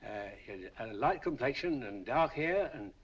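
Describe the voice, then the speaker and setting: An actor playing an obliging, ingratiating auction-house clerk in a 1940s film, with a husky voice. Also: male voice, mid-range voice, expressive, normal speed. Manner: ingratiating and polite, calling everyone sir. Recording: dull lo-fi old film soundtrack.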